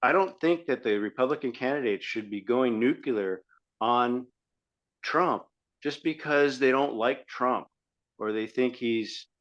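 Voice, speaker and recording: A man's voice: medium pitch, unhurried, a bit bright. Dull-sounding male podcast co-host, argumentative. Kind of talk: conversational political commentary. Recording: dull lo-fi audio.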